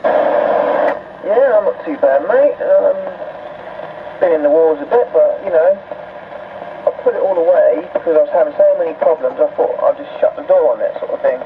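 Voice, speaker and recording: A man's voice: fairly high pitch, lively intonation, moderate pace, long pauses. A man chatting casually over CB radio as an operator. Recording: tinny, noisy CB radio speaker.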